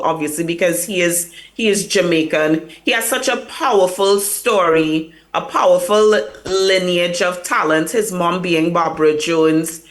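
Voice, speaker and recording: A woman's voice: fairly low pitch, a bit bright. A woman publicist answering interview questions over a remote link, earnest and reflective, with fillers and restarts. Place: hotel or room in New York, remote link.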